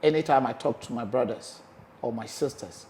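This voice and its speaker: A man with a Ghanaian accent hosting a casual interview, animated and prone to repeating his phrases. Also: medium pitch, moderate pace, a little hiss or hum. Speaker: host.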